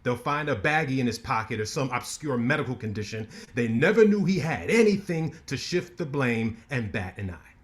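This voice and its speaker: An actor playing a brash, ambitious lawyer who talks with cocky sarcasm in a staged play reading. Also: male voice, medium pitch, expressive, moderate pace, hardly any pauses, close to an everyday voice.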